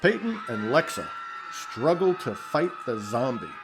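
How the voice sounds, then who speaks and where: A man's voice: medium pitch, very animated, unhurried, very noisy. A man reading scripted narration for a radio play.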